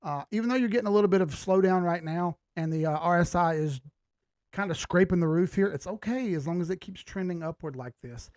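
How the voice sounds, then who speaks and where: A man's voice: high-pitched, brisk, darkish tone, dry recording, studio quality. A man hosting a live stream, giving casual commentary and thinking aloud in slangy, informal language. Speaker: host of a live market analysis stream.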